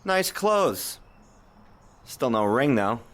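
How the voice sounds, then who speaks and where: Audio drama role: washed-up middle-aged radio host, wry, defensive, very lively intonation. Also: male voice, medium pitch, drawn-out words. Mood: defensive, needling.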